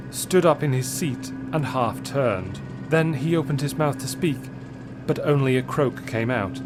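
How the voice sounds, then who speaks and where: Male story reader, medium pitch, dramatic scripted adventure narration, suspenseful and measured, faint music bed.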